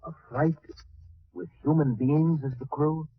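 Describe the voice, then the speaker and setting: A man's voice: a little high, unhurried, breathy edge. A man playing a polite, curious historian in a 1940s radio drama, courteous and precise in his speech. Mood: awed, curious. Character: polite, curious male historian visiting a laboratory. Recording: tinny old radio broadcast, music.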